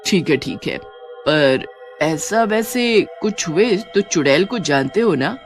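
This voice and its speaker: A woman's voice: fairly low pitch, animated, slow, soft background music. A woman voicing a cunning, menacing witch from a horror story, taunting and commanding. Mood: threatening. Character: menacing, cunning female witch haunting a phone booth. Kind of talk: Hindi animated horror story acting.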